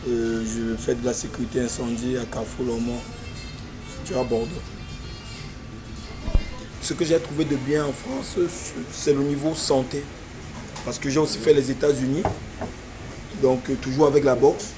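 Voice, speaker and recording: A man from Cameroon, a professional boxer, speaking in a measured, deliberate way. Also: medium pitch, level tone. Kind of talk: interview. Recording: music underneath.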